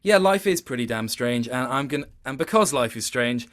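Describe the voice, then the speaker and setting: A man's voice: medium pitch, expressive, fairly quick, fairly bright tone. Male interviewee, casual and reflective, thoughtful delivery that doubles back while finding the right words. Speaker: interview guest, voice actor.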